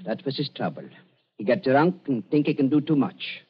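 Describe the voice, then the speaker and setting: An actor playing an elderly German doctor in a radio drama, fawning and falsely soft-hearted, with halting, repetitive speech and a German accent. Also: male voice, mid-range voice, expressive, husky. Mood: regretful. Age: older. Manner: fawning, halting, repeats words. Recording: dull lo-fi radio recording.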